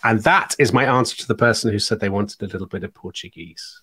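A man's voice: lowish voice, fast, sharp tone. A middle-aged man co-hosting a podcast in casual, chatty conversation, wry and fond of tag questions. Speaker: podcast co-host. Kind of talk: casual chat, reading listener comments.

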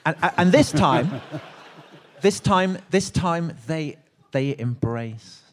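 A man co-hosting a live talk, speaking in an animated, emphatic way with mock indignation. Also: fairly high pitch, takes it slowly. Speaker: podcast co-host at a live show. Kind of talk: lively live conversation.

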